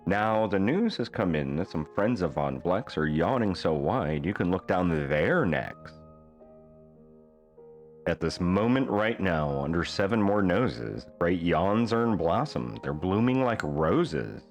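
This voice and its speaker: A man reading a rhyming children's bedtime book aloud as an expressive storyteller. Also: low-pitched, a little slow, faint music behind.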